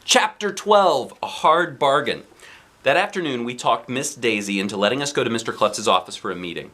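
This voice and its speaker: A man reading a children's storybook aloud in an expressive, animated narrating style. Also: medium pitch, normal speed. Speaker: storybook reader, dad.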